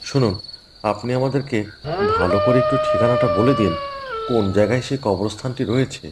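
Man as greedy cartoon grave robber, bossy, lively pitch swings. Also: brisk, heavy background noise. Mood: insistent. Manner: dismissive, bossy.

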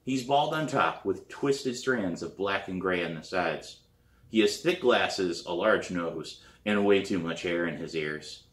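Man, storybook narrator, lowish pitch, dramatic and expressive, room echo. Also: unhurried. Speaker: author reading own novel aloud. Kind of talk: storybook read-aloud, first-person narration. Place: small living room, indoors. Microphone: camera a few feet away. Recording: roomy, echoey living room.

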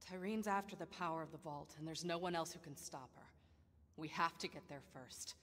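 A woman's voice: sits low, a little fast, fairly bright tone, big-room sound. An actress playing a weary but resolute woman commander of a raider band in a video game. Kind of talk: video game cutscene acting.

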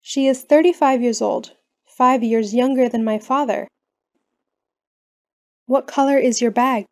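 A woman reading common questions and answers slowly for English learners. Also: a little high. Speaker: English lesson reader.

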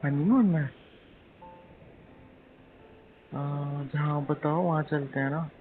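Over a tinny phone line with faint noise comes a teasing young husband-to-be, acted by a man. His voice sits a little high, and he talks casually and hesitantly, like someone careful with money in a call drama. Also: steady tone, a little slow, natural voice. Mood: joking, reluctant.

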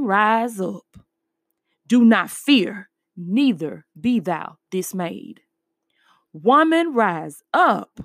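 A woman spiritual life coach hosting a podcast, talking excitedly to listeners and then reciting spoken word poetry with a rousing refrain. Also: no room sound, studio quality. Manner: rousing, refrain called out high and strong; upbeat, excited.

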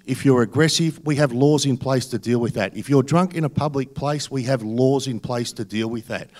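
A middle-aged man with an Australian accent speaks at a candidates' forum in a theatre, holding a microphone close. He talks in an earnest, insistent way and repeats phrases to hammer his points. His pitch sits at an ordinary middle level. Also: a little mellow. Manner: earnest, repetitive, stressing points. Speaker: election candidate. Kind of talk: forum answers to audience. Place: theatre stage panel. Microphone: handheld mic.

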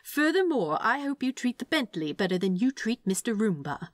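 A woman's voice: on the high side, very animated, normal speed, no room sound, clean studio sound, pitched above the performer's own voice. A woman voicing a prim, doting male angel with a fussy, polite manner in a storybook reading. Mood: prim, scolding. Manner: fussy, polite, cooing, tutting. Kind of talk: storybook character voice in fan fiction reading.

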